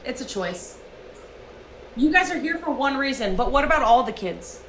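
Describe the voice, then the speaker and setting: A woman's voice: high voice, very animated, normal speed, fairly bright tone, roomy sound, a little hiss or hum, rough recording. A woman livestreamer delivering a profane, emphatic rant.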